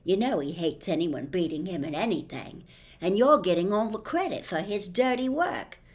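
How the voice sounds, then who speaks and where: A woman's voice: lowish voice, expressive, moderate pace, natural voice. A woman voicing a frank, grumbling schoolboy sidekick in a storybook, with a knowing, matter-of-fact manner. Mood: wry.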